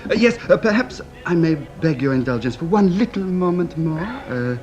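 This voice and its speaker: An actor playing an ambitious, obsequious French police assistant in a radio drama, stammering through flowery, flustered flattery. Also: male voice, sits high, normal speed, muffled recording. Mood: fawning, nervous. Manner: fawning, hesitant, stammering, flowery. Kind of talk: radio detective drama acting.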